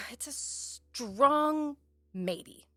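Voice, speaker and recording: A woman's voice: high-pitched, animated, drawn-out words, bright, forceful tone, faint music behind, full character voice. A woman acting in an audio drama as a cautious wife, tentative and polite as she resists pressure. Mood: wavering.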